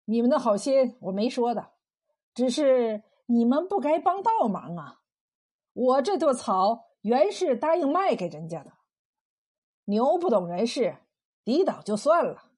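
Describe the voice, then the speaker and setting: A woman storyteller voicing a scheming, bullying village husband in a mocking, forceful manner. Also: fairly high pitch, expressive, moderate pace, breathy edge. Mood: sly, mocking.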